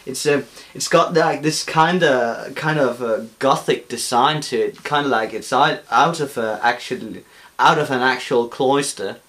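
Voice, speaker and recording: A man's voice: medium pitch, unhurried, fairly bright tone. Chatty young Danish man, rambling. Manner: chatty, rambling, lots of fillers and restarts. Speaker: beer-tasting vlogger. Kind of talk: casual chat. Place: indoor room.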